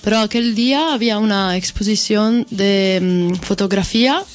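A woman from Italy, a guest musician on a radio interview, speaking in an unhurried, thoughtful way with hesitations. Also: mid-range voice.

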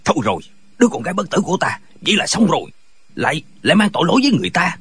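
A man voices an old wuxia father, gruff and easily agitated. The voice is husky and very animated in pitch, recorded dry for an audiobook. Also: racing, dry recording, heavily acted. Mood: despairing. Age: older. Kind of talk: dramatized wuxia audiobook dialogue.

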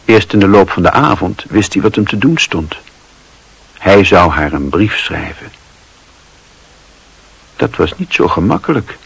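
A man narrating a literary radio play as a wry, observant storyteller with a husky voice. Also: deep voice, sing-song, a little slow, pauses often, full character voice, rough recording. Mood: wry, measured.

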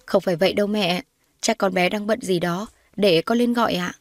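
A woman voicing a gentle young man, a protective brother and dutiful son who soothes and placates, in a dramatized audio story. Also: medium pitch, a little fast, dry recording, studio quality, natural voice. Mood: placating.

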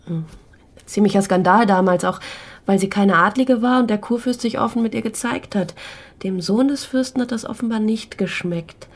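An actress playing a dry, matter-of-fact police investigator in a radio play, informal and wry. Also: female voice, mid-range voice, normal speed, lightly acted. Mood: wry, gossipy. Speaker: police assistant. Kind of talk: German radio play acting.

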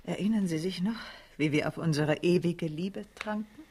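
An actress playing a proud marquise who hides her hurt, genteel and measured in a 1970s German radio drama. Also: on the low side, darkish tone, slightly rough. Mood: cool politeness. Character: proud, wounded French marquise hiding hurt behind composure.

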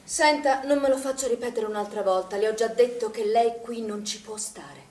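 High-voiced, firm woman manager played by an actress. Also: moderate pace, darkish tone, lightly acted. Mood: curt, firm. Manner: curt, formal, exasperated. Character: firm, defensive woman manager of a swimming pool. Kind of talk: stage theatre acting. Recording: echoey room, distant mic, background noise.

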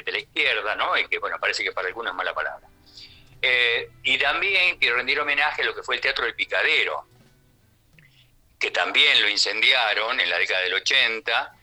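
An older Argentine man with a Rioplatense accent reminisces conversationally as a radio guest. His voice is strikingly bright and sharp at an average male pitch. He rambles amiably through his phrases, often tagging them with a small question. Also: rough recording. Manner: rambling, chatty, frequent question tags. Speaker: theatre actor and radio guest.

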